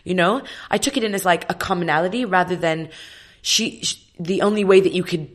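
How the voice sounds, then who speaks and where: A woman in her thirties, an opera singer, talking as an interview guest. Her voice is slightly husky today, and she tells stories in a reflective, lively way with plenty of fillers. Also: on the low side, brisk. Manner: reflective, animated storytelling with many fillers. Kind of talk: casual interview chat.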